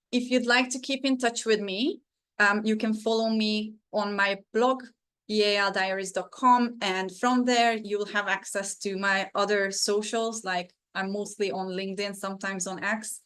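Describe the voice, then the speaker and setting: A woman's voice: medium pitch, a bit bright, smooth. A woman whose first language is Hungarian, presenting a teaching webinar over a video call in an earnest, encouraging way with frequent fillers.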